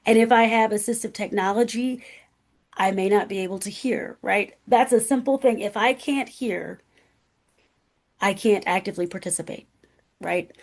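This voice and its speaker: A woman speaking as a guest presenter, a professor with a loud, carrying voice who talks emphatically, often checking in with "right?". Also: mid-range voice, normal speed. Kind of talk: conversational presentation.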